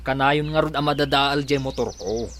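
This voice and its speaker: An actor playing a sullen, jealous husband who grumbles and accuses in a radio drama. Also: male voice, medium pitch, steady tone, moderate pace, a bit bright, slight background noise, lightly acted. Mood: defensive, irritated. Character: jealous, grumbling husband, short of money for the household. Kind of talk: Ilocano radio drama acting.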